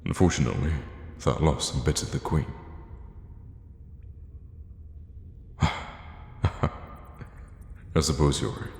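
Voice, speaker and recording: Man playing a very deep-voiced, scheming royal guard. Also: creaky, roomy sound, full character voice. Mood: wistful, subdued. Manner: theatrical, hushed, measured, with sighs and pointed pauses. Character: scheming, condescending royal guard, fiercely loyal to his queen. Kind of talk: intimate ASMR fantasy roleplay acting.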